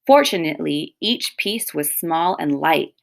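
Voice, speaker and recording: Unhurried woman reading a storybook aloud. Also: low-pitched, animated, creaky. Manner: pausing to explain and comment to young listeners. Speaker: teacher reading a picture book aloud. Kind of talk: expressive children's storybook read-aloud.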